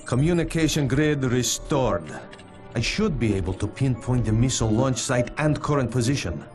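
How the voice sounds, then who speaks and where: An actor playing an earnest, capable superhero tech expert in a video game, briefing in a matter-of-fact way. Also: male voice, medium pitch, lively intonation, normal speed, over music, natural voice. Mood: serious, focused. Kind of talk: video game drama acting.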